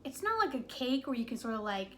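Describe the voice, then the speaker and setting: A woman from New England talks through a TV movie in a casual, wry way. Her voice sits a little high. She pads her talk with "like" and "um.". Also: sing-song, quick pace, sharp tone, a little hiss or hum. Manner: chatty, wry, with frequent "like" and "um". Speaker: movie reviewer. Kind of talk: casual video review.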